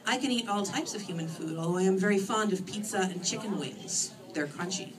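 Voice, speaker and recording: A woman voicing a formal, literal-minded female monster with a precise, deadpan and politely bloodthirsty way of talking. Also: medium pitch, brisk, a crowd in the background, close to an everyday voice. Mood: earnest, pleased. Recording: distant microphone in a room.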